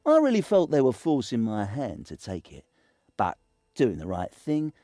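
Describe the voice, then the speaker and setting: A man's voice: mid-range voice, wildly swooping pitch, moderate pace, warm tone, no echo, clean studio sound. A man voicing a boastful, sneaky little thief in a fantasy video game, theatrical and full of mock innocence. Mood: smug storytelling.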